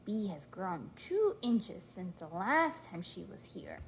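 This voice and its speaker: A woman reading a storybook aloud to a toddler in an encouraging, sing-song way, pausing to prompt and praise. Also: mid-range voice, big pitch swings, slight background noise. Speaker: parent reading aloud to a young child.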